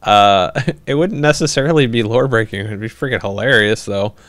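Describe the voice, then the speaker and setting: A young man with a low, smooth voice chatting casually on a livestream. He rambles through viewer questions with plenty of ums and restarts and laughs easily. The sound is close and dry. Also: a little fast, a bit bright, dry recording. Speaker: livestream host.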